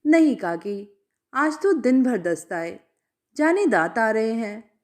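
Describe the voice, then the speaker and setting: A woman's voice: a little high, very animated, slow pace, a little mellow. A woman voicing a humble, kindly young village mother with deferential, rustic phrasing in a storytelling reading. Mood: worried.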